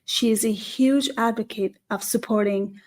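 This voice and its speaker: A woman's voice: a little high, steady tone, normal speed, darkish tone. A woman hosting a conversational interview show, introducing and questioning her guest. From South Asia.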